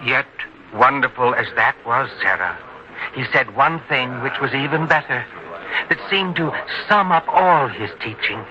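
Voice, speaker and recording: An actor playing an earnest, devout neighbour in a biblical radio drama, telling things solemnly. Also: male voice, medium pitch, expressive, unhurried, crowd noise, lightly acted. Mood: solemn, reverent. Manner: solemn, earnest storytelling delivery. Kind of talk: old-time radio drama acting. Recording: lo-fi old-time radio sound, faint noise.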